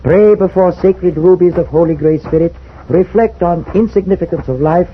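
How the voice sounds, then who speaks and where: An old, tinny radio drama with light noise carries a man acting a portly Chinese merchant. He speaks in a fairly high voice and formal, clipped broken English with a put-on Chinese accent. He is courteous and fatalistic throughout. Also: normal speed, lightly acted. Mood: solemn, matter-of-fact. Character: very fat, courteous, fatalistic Chinese merchant aged forty-five. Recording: tinny, noisy old-time radio recording.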